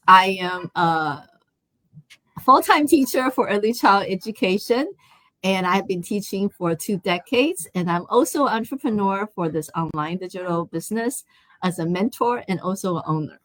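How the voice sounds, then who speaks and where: A woman's voice: fairly low pitch, unhurried, a bit bright, dry recording. A woman from Taiwan hosting a live online interview. She talks in a chatty way, with many fillers and restarts. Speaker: host.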